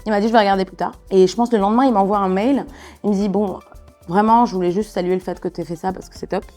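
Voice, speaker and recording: A woman from Niger speaking as a journalist in a conversational interview, telling personal stories with halting self-corrections. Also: medium pitch, steady tone, warm tone, faint music behind.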